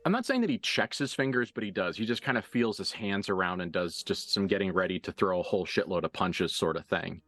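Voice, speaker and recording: A man working as game master, improvising narration for a tabletop roleplaying game with deliberate, halting phrasing and dramatic emphasis. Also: lowish voice, fairly bright tone, no echo. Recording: dry voice over background music.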